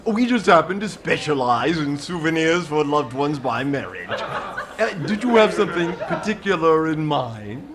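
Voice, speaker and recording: A man's voice: a little high, big pitch swings, normal speed, noisy background. A man playing a smarmy souvenir clerk in an old-time radio comedy, drawing out mock-obliging sarcasm. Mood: smugly sarcastic. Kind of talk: 1940s radio comedy acting.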